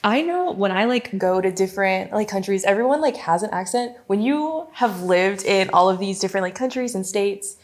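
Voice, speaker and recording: A woman's voice: mid-range voice, lively intonation, moderate pace, hardly any pauses. A young woman hosting a student podcast, asking questions eagerly in casual chat and filling her speech with "like". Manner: eager, many "like" fillers.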